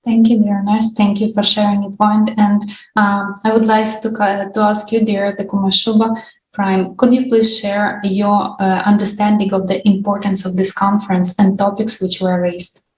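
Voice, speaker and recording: A woman's voice: mid-range voice, flat delivery, normal speed. A woman hosting an online international discussion in a formal presenting style. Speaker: host. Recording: roomy sound with noticeable background noise.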